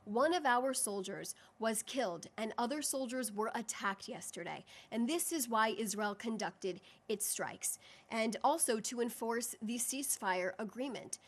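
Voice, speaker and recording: A woman with a fairly high voice delivers a formal press briefing as a government spokesperson. She phrases her points deliberately and leans on key words with firm emphasis. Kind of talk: formal press briefing, prepared statement and answers to questions.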